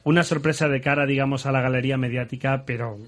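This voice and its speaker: A man's voice: mid-range voice, steady tone, fast talker, a bit bright. A man from Spain hosting a radio political panel, talking casually and calling on panelists by name. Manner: conversational, addresses panelists by name, teasing asides. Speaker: radio talk show host. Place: radio studio.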